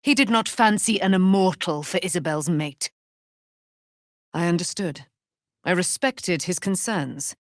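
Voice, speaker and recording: Actress doing a proud fierce immortal knight lady, slow grand speeches, lowish voice, dry video game sound. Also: dry recording, close to an everyday voice. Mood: solemn, grieving. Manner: formal, declamatory, archaic phrasing. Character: proud immortal warrior woman, righteous, grandiose, fierce and vengeful. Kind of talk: dramatic video game voice acting.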